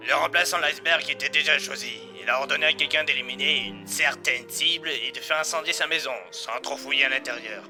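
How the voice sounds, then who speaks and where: Secretive underworld informant played by a man, hesitant and confiding, creaky voice, music bed. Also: mid-range voice, brisk, hardly any pauses, sharp tone. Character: nervous, secretive male informant inside a criminal organisation. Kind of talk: audio drama acting.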